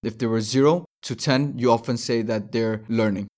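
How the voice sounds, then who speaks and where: A man's voice: medium pitch, normal speed, darkish tone. A man in his twenties from Denmark, a startup founder, explaining his views step by step as a guest in an interview. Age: 20s.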